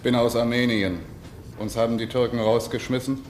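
A man plays a stateless ship's stoker in a radio drama. The voice is mid-pitched. It sounds roomy, with faint noise around it. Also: steady tone, normal speed, darkish tone, lightly acted. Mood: resigned. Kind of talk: German radio play acting. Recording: roomy, noisy radio play ambience.

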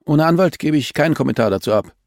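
A man's voice: fairly high pitch, animated, fast talker, darkish tone, no echo, clean studio sound, voice pushed up. A man voicing an arrogant, cornered male murder suspect in a crime audiobook, snapping with defiant indignation. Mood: indignant.

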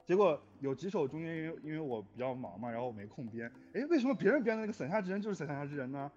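Male guest, quick and higher-pitched, comic grumbler in casual chat, slightly muffled recording. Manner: playfully complaining, animated storyteller. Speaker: guest, music arranger and composer of score. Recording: muffled lo-fi sound, music behind. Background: background music.

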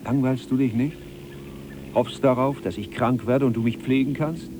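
An actor playing a fretful, self-righteous middle-aged archivist in a German radio drama, arguing in a pedantic, declamatory way. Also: male voice, medium pitch, steady tone, moderate pace, natural voice. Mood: bitter. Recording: noisy cassette copy of radio drama.

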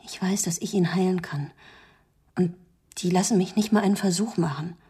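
An actress playing an earnest, caring woman determined to help, speaking in a measured way in a radio drama. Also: lowish voice, mellow, breathy edge. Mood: passionate, frustrated.

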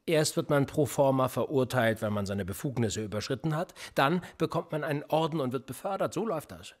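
A man's voice: medium pitch, fairly quick, close to an everyday voice. An actor playing a cool, cynical male police interrogator in a radio drama, smug and needling. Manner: smug, needling, lively intonation.

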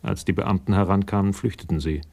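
A man with a gravelly voice reading scripted literary narration for a German radio crime drama. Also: low voice, fairly even intonation, brisk, a little mellow.

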